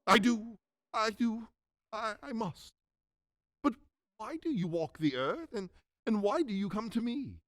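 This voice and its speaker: A man's voice: sits high, very animated, moderate pace, pitched above the performer's own voice. A man playing a frightened, stubborn old miser in a radio drama, stammering and flustered.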